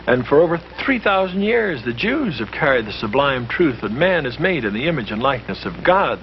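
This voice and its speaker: Man, earnest, emphatic, explanatory, lively intonation, muffled vintage film sound. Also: mid-range voice. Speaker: lecturer explaining history to friends. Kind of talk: scripted 1950s film dialogue. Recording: muffled old film soundtrack with faint hiss.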